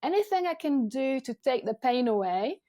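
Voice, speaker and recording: Guest: French-accented woman, warm higher voice, chatty and hesitant. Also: big pitch swings, normal speed. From France. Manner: chatty, many ums and restarts. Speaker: guest photographer. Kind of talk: casual podcast interview.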